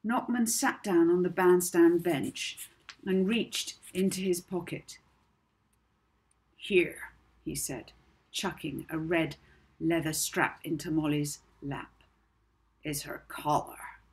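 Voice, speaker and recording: Very husky, unhurried woman storyteller. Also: on the low side, fairly even intonation, darkish tone. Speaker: author reading own book. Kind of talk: expressive audiobook narration for children.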